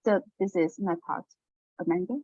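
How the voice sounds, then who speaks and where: A woman whose first language is Chinese, giving a careful, earnest academic presentation with soft fillers. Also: fairly low pitch, normal speed. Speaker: graduate student presenter. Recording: dull dry lo-fi audio.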